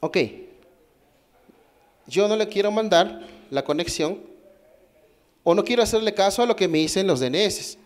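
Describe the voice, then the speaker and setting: A man with a Peruvian accent speaks at a technical conference through a microphone. His voice sits a little high, and he explains step by step, pausing to put questions to the audience. He often breaks off and restarts his sentences mid-thought. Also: animated. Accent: Peruvian Spanish. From Peru. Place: conference hall.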